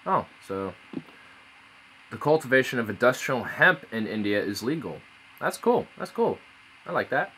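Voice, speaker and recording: A man's voice: mid-range voice, big pitch swings, normal speed, long pauses, bright, forceful tone, slight background noise, poor audio. A man streaming casually, rambling and swearing as he reads aloud. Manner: rambling, laid-back, swears often. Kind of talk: casual live-stream chat. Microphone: phone.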